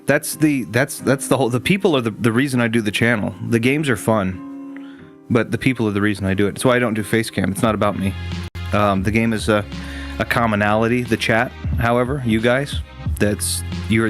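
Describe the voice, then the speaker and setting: A man's voice: fairly low pitch, steady tone, normal speed, faint music behind. A man hosting a gaming stream, chatting casually with viewers in a rambling way, full of restarts and fillers.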